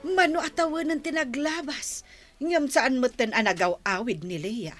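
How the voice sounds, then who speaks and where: A woman's voice: high-pitched, moderate pace, over music, natural voice. A woman playing a nosy, gossiping village woman in an audio drama, asking pointed, prying questions. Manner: inquisitive, pointed.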